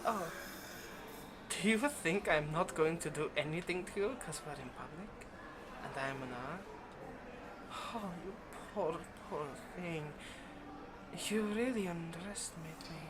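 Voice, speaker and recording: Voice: mid-range voice, animated, measured pace, background noise, natural voice. Smug, teasing vampire, acted out for roleplay. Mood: menacing, possessive. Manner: teasing, condescending, drops to whispers. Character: smug possessive vampire, teasing, confident, menacing predator. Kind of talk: ASMR roleplay monologue.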